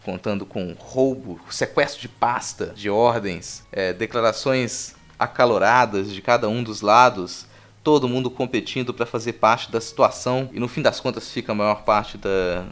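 Low-voiced Brazilian man hosting a podcast. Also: a bit bright. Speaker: podcast host. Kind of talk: conversational podcast commentary.

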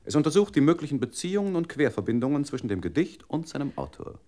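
A man playing an orderly, detached computer memory bank in a radio play, reciting in a measured way. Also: a little high, sing-song, dark tone. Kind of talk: scripted 1960s radio play.

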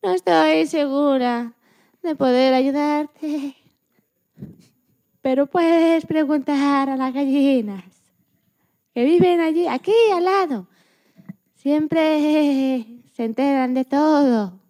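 A woman's voice: high voice, dark tone. A woman voicing a timid, helpful female sheep in a children's radio play, speaking hesitantly. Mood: fearful, helpful. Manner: hesitant, drawn-out delivery.

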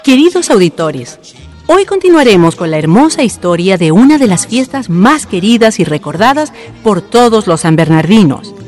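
A woman's voice: mid-range voice, big pitch swings, moderate pace, a little mellow, faint music behind. A woman with a Chilean accent reading a scripted narration for a radio documentary drama.

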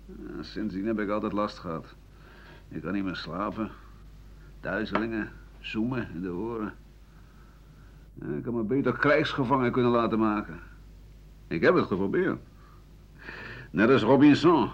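An actor playing an embittered aging French doctor and war veteran, rambling sardonically through his memories in a radio drama, his voice husky and rough-edged. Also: fairly low pitch, moderate pace, pauses often. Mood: weary, complaining. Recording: dull 1980 radio play recording.

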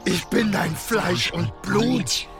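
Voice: medium pitch, lively intonation, slow, vocal fry, over music. An actor voicing a wounded, menacing demonic beast in a radio drama. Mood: betrayed, accusing. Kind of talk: German radio drama acting.

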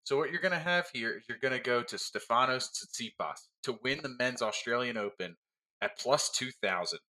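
A man's voice: mid-range voice, a little fast, low-quality recording. A man on a betting panel, boastful and playful, laughing as he gives his picks. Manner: boastful, animated, laughs a lot. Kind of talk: casual panel chat.

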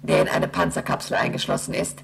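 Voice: fairly quick, fairly bright tone, lightly acted. An actor voicing a space station computer that recites technical facts in a formal, impersonal radio drama delivery. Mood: impassive, formal. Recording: noisy track with a hum.

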